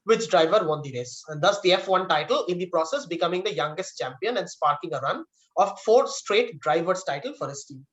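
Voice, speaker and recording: A man's voice: sits high, animated, a little fast, a bit bright, rough recording. A man acting as quiz master, reading out trivia questions and giving verdicts on answers.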